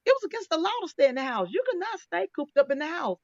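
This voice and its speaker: A woman's voice: very high voice, sing-song, fast talker, no room sound. Woman, 50s, chatty talk-show host, laughs often; dry, muffled tablet audio. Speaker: podcast host. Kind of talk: casual interview chat.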